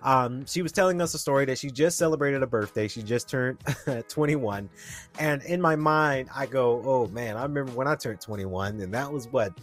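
A man's voice: mid-range voice, normal speed, fairly bright tone, faint music behind. A man in his twenties hosting a podcast, telling a rambling story and talking straight to his listeners. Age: 20s. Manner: rambling, chatty, addresses listeners directly. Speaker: podcast host. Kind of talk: casual solo podcast storytelling.

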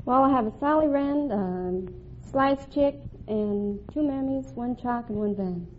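A woman's voice: a little high, a little slow. A woman as a quiz contestant, giving short, casual answers to the host. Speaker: quiz show contestant, drive-in waitress. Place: broadcast studio. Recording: tinny, faintly noisy old radio broadcast.

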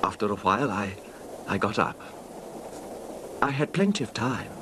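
An actor playing a meek, quietly vengeful husband confessing in a hesitant, genteel, understated way, in old-time radio drama style. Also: male voice, lively intonation, normal speed, natural voice. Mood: quietly confiding. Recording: noisy vintage radio drama, rumbling background.

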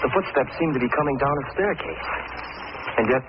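An actor playing an earnest, nervous young man with a hurried manner in a 1940s radio mystery. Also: on the high side, close to an everyday voice. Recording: muffled, noisy old radio recording.

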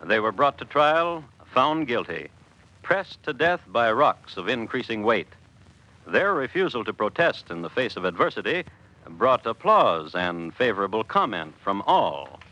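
An actor playing a deadpan, formal crime historian, narrating a 1950s radio drama in a deliberate, measured way. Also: male voice, lowish voice, lo-fi sound, a little hiss or hum, full character voice. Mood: deadpan, grave. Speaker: host and storyteller.

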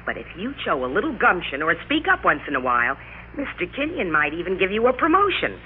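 An American actress playing a domineering, impatient wife who scolds and interrupts, in old-time radio drama style. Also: female voice, on the low side, huge pitch swings, quick pace, narrow, tinny audio. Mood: lecturing. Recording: thin, noisy vintage radio recording.

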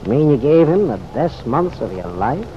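An actor playing a folksy, slyly probing police officer in an old radio drama, with wry, slangy, unhurried questioning. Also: male voice, mid-range voice, very animated, close to an everyday voice. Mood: sly, skeptical. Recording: dull, noisy old radio recording.

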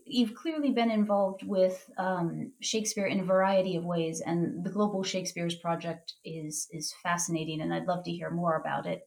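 A woman hosting a book podcast, reading a measured prepared introduction and then asking interview questions. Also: on the low side, darkish tone. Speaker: podcast host.

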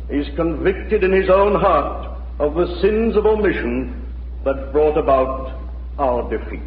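An actor playing a solemn, grieving preacher, delivering a grave, deliberate sermon in a 1940s radio drama. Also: male voice, on the high side, slight background noise. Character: solemn, grieving male preacher in a conquered country. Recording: echoing, narrow-band old radio recording, music behind.

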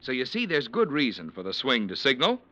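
A man's voice: mid-range voice, huge pitch swings, brisk, pushed and bright, no echo, muffled recording. A man announcing a vintage radio commercial with a polished, emphatic sales delivery.

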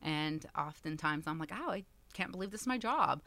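A woman's voice: low-pitched, animated, moderate pace, fairly bright tone. A woman in her thirties from Texas, a community center director, chatting as a guest with frequent laughs and fillers. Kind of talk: casual interview chat.

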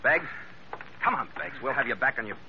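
A man's voice: mid-range voice, animated, fairly quick, natural voice. An actor playing a tough, hard-boiled American sea captain who narrates his own radio adventure in clipped, wry words. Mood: brisk. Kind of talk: 1940s-50s radio adventure acting. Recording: muffled, very noisy vintage radio recording.

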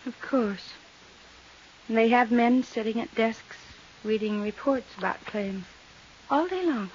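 An actress playing a haunted, unstable young widow with deliberate, intense delivery and loaded pauses in a 1950s radio drama. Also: female voice, a little high, close to an everyday voice. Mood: brittle, intense. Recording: muffled, hissy vintage radio recording.

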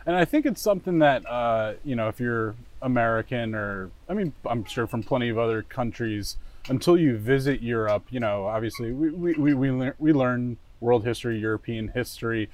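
A man's voice: mid-range voice, normal speed, no room sound. Male host, casual interview, friendly and rambling. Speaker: podcast host.

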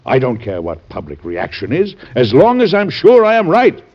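An actor playing a grave, conscientious middle-aged American president in classic radio drama style, speaking in a deliberate, formal, earnest manner. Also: male voice, medium pitch, wildly swooping pitch, slightly rough, full character voice. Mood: impassioned. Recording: dull band-limited old radio recording.

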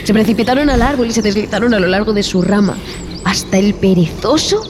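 An actress playing a frightened, repulsed expedition zoologist, narrating a horror audio drama in a deliberate, theatrical way with dramatic pauses. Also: female voice, mid-range voice, background noise, close to an everyday voice. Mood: haunted, fearful. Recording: long reverb, music behind.

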